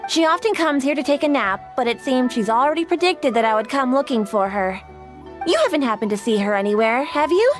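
A woman's voice: sits high, lively intonation, soft background music. A woman voicing a polite, dutiful young shrine maiden who speaks formally. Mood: composed. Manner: formal, courteous, measured. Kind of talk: game voice acting.

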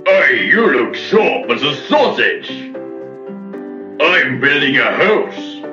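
An actor playing a friendly, jolly cartoon animal builder in a children's game. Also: male voice, fairly high pitch, sing-song, slow. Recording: dull, roomy lo-fi game audio. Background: loud music.

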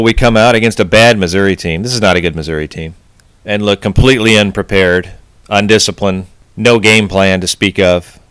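A man's voice: on the low side, fairly bright tone, no echo. A man hosting a sports podcast, venting his frustration in a post-game rant. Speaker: podcast host.

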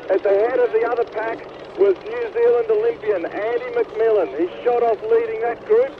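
A man on a boat calling the positions in a live swim race, reporting briskly over a radio link. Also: very high voice. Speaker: boat commentator. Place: on a boat out on the water. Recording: tinny radio link with noise.

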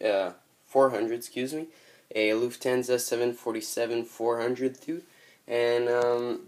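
Slow, hesitant man with a Quebec French accent. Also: mid-range voice. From Montreal. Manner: hesitant, many ums and uhs. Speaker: model airplane collector. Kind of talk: casual show-and-tell vlog. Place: bedroom with model airport table, indoors.

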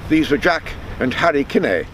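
Casting: man in his seventies, fairly high-pitched, measured storyteller reading a memoir outdoors by the sea, wind noise on the mic. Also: animated, a bit bright, poor audio. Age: 70s. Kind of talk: reading aloud a written memoir, with spoken introduction. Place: outdoors on a seafront.

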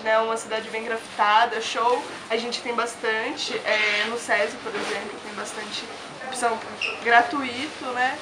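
A woman's voice: on the high side, low-quality recording. A Brazilian woman in her late teens, a shop clerk, answering casually and a bit hesitantly in a clothing shop. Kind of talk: street interview answers. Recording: loud crowd behind.

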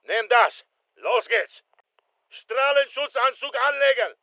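A man's voice: extremely high pitch, expressive, slow pace, creaky, dry recording, phone-quality sound, heavily acted. An actor playing a terse, disciplined soldier who reports to his squad in short clipped phrases in a video game. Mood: terse, focused. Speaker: military game character. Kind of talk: video game combat callouts.

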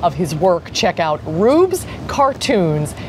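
A middle-aged woman, anchoring a local TV news feature in a bubbly, animated way. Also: fairly low pitch, slow pace, slight background noise. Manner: bubbly, animated, laughs often. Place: outdoor garden set. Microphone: lapel.

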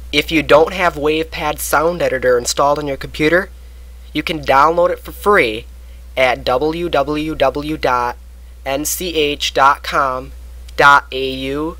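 A man narrating a software tutorial step by step, recorded close on a computer microphone. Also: a little high, dry recording. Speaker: tutorial presenter. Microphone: close computer microphone, voice-over.

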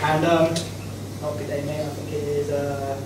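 A man's voice: a little high, level tone, moderate pace, background noise. Young man from Reading, cheeky rambling comic; far mic, heavy hall echo. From Reading, England. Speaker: student presenter. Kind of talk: stage comedy bit. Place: school auditorium stage. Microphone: handheld mic. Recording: echoey hall, far camera, loud crowd.

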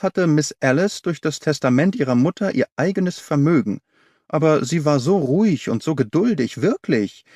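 A man's voice: fairly high pitch, a little mellow, no echo. Older female servant, voiced by a man, chatty, deferential, loyal storybook character, very dry sound. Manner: colloquial, deferential, eager to explain. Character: talkative, loyal older woman servant confiding a secret.

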